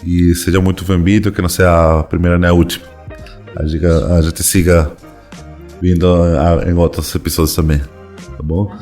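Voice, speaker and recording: A man co-hosting a podcast, thanking guests and closing, a little halting. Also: low voice, fairly even intonation, a little mellow, soft background music. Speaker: podcast co-host. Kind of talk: closing remarks.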